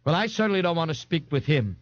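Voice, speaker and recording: A man's voice: fairly high pitch, big pitch swings, fast, dull audio, pitched above the performer's own voice. A man voicing a pompous, overweight, self-styled intellectual man for an audiobook, enunciating with grand indignation. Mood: thundering indignation. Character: pompous, bombastic, overweight male slob intellectual. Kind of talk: audiobook character voice.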